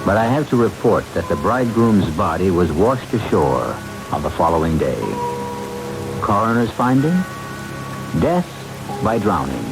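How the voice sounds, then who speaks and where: A man hosting a radio mystery series, narrating in dry, deliberate, dramatic phrases. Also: low voice, very animated, over music, poor audio.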